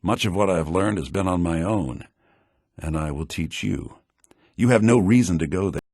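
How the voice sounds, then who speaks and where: An actor playing a calm, stern magic mentor in a fantasy video game, measured and authoritative, in a hushed manner. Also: male voice, low voice, husky, dry recording, studio quality. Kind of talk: video game character dialogue.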